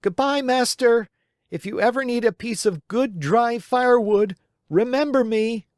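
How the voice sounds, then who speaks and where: Guy putting on a high cheeky wooden puppet boy, bouncy and playful, dry close sound. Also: a little slow, dry recording. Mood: taunting.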